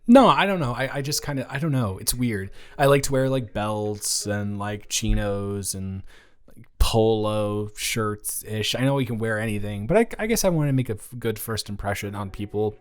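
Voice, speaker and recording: A man's voice: on the low side, normal speed, natural voice. A young man playing a shy, eager, awkward college dormmate in a romantic audio roleplay, talking in a hesitant, stammering ramble. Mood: awkward, bashful. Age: young adult. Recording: music playing behind the voice.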